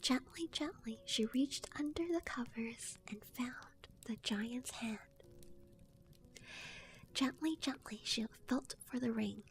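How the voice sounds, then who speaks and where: A young woman streamer whispering a folk tale softly, giggling between asides to her chat. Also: fairly high pitch, takes it slowly, soft background music. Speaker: streamer and storyteller. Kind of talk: ASMR story reading.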